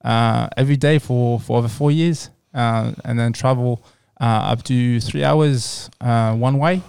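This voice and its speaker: A man from France with a French accent, answering casually as an interview guest in a halting, repetitive way. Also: mid-range voice, steady tone, creaky, darkish tone, clean tone, no echo, clean studio sound. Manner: halting, repeats words and phrases.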